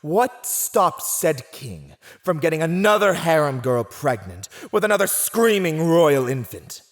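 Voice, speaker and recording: An actor playing a silver-tongued, condescending fantasy villain who teases and mocks a prisoner in a scripted ASMR roleplay monologue. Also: male voice, on the high side, sing-song, unhurried. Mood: contemptuous. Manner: theatrical, condescending, teasing.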